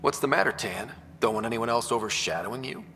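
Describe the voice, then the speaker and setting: A man's voice: medium pitch, expressive, quick pace, pushed and bright, slight background noise. An actor playing a determined, wry young man who leads explorers in a sci-fi video game. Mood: frustrated. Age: young adult. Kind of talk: naturalistic video game cutscene acting. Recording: long echoey reverb, faint music behind.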